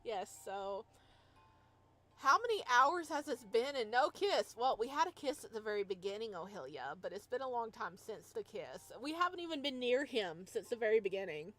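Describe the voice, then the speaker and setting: A woman's voice: fairly high pitch, big pitch swings, normal speed, bright, forceful tone, faint music behind. A woman chatting casually on a livestream as she plays a game, rambling in a giggly, playful way. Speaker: live streamer playing a visual novel.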